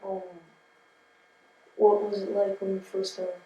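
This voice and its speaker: A woman's voice: medium pitch, monotone, a little slow, mellow, echoey room, poor audio. A young woman interviewing her grandfather and reading prepared questions aloud.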